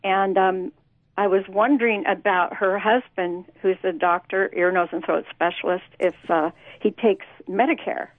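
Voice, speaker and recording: A woman's voice: medium pitch, steady tone, moderate pace, dry recording. A woman phoning in to a talk show, asking questions in a casual, rambling way. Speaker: caller. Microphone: telephone. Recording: narrow, dry phone line.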